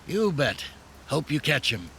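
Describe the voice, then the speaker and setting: A wry forensic examiner savours his words in slow game dialogue. The voice is bright and animated, with some ambience noise. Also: on the high side, creaky, exaggerated voice. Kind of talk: video game cutscene dialogue.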